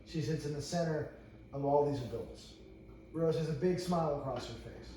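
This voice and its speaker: A man's voice: on the high side, normal speed, warm tone. A man reading screenplay stage directions aloud as narrator at a table read, stumbling and repeating phrases. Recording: echoey room, far from mic, faint noise.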